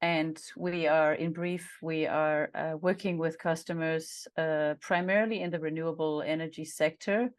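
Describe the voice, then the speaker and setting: A woman, speaking as a coach in a thoughtful, measured way with pauses, on a headset in a small home office. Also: sits low, fairly even intonation. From Denmark. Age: 50s. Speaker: guest, intimacy and relationship coach. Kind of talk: casual video call interview. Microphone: headset on a video call.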